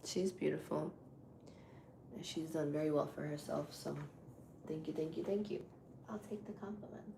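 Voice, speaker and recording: A woman live streamer with a deep voice, chatting casually with her viewers while she sets up a game. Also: moderate pace, vocal fry. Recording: echoey room with loud background noise.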